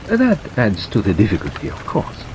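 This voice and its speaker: Man voicing a polite Belgian detective, audiobook, thoughtful, mild, cautious, measured, faint rain behind. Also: fairly low pitch. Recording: steady rain noise over narration.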